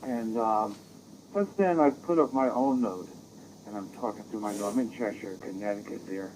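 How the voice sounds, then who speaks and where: An American man chatting casually as an amateur radio operator, rambling and halting, heard through a digital radio transmission. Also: lowish voice, darkish tone. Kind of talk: casual radio contact chat. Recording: dull, noisy digital radio audio.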